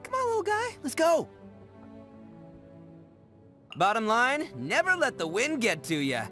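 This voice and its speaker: An actor voicing a brash, boastful young oni gang delinquent in a video game, with an American accent and cocky, hammy, slangy bravado. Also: male voice, extremely high pitch, wildly swooping pitch, measured pace, bright, forceful tone, soft background music, full character voice. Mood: breezy, chummy. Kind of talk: animated video game character acting.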